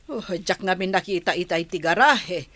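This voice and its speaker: A woman's voice: on the low side, lively intonation, moderate pace, fairly bright tone, no echo. A woman playing an affectionate elderly grandmother in an Ilocano radio comedy-drama. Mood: concerned, chatty.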